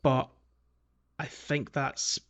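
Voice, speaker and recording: A man's voice: mid-range voice, monotone, very slow, a bit bright. A man co-hosting a casual video game podcast, talking in a chatty, rambling way and often correcting himself. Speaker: podcast co-host.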